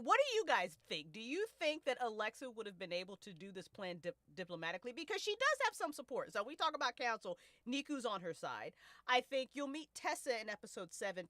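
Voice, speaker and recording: Bright, animated woman hosting a casual podcast. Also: a little high, no echo, clean studio sound. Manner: expressive, profane, slangy; prone to laughing as they talk. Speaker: host and writer of a fiction podcast. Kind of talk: casual discussion of an audio drama.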